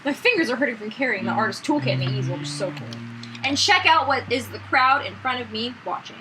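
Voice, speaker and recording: Brief: woman let's play host, quick and very lively, slangy asides between read lines, noisy backup mic. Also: a little high, over music. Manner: animated, slangy, exclaiming. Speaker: let's play host reading game text aloud. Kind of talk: casual playthrough reading with chatty asides.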